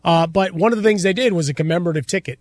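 A man co-hosting a sports talk show, speaking casually with a measured, wry and slightly halting manner. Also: high voice, dry recording, studio quality. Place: dry studio.

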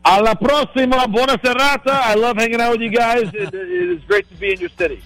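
Radio guest reporter guy, high voice, talks fast, friendly and casual. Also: expressive, a bit bright, low-quality recording. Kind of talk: casual on-air chat.

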